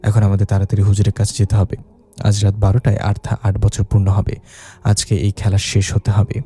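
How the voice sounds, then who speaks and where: Man acting a grave, determined husky man. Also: fairly low pitch, monotone, a little fast, mellow. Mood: grave resolve. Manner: firm, serious.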